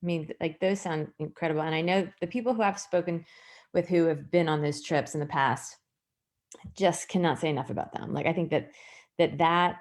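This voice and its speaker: A woman's voice: deep voice, brisk. A woman hosting a livestream interview over a video call, enthusiastic and with lots of filler words. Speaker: host.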